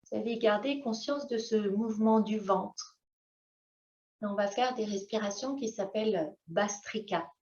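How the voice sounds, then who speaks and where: Woman yoga teacher talking thru breathing, gentle w long pauses, roomy echo and kinda dull sound. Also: mid-range voice. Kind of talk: guided yoga breathing instruction. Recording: echoey room, slightly dull sound.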